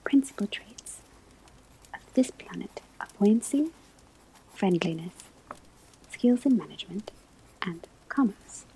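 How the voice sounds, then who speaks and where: A calm, instructive professor of magic acted by a woman gives a whispered ASMR classroom lecture. The voice is soft, breathy and mellow, and moves very slowly and deliberately. Crackling fire and rustling pages sit audibly behind it. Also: medium pitch. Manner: slow, deliberate, measured, instructive. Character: calm woman professor of divination at a witch school. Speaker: ASMR roleplay performer. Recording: clear background ambience noise. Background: classroom ambience, crackling fireplace, pages turning.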